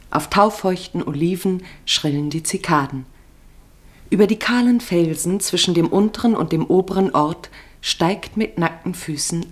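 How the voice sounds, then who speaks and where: Lowish-voiced woman narrating a radio drama. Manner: measured, descriptive.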